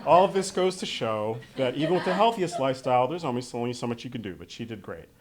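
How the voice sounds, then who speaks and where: A man's voice: mid-range voice, animated, fast, crowd noise. A middle-aged American man delivering a prepared memorial speech to an audience in a heartfelt, conversational way. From Western New York State, United States. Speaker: son giving opening remarks at a memorial.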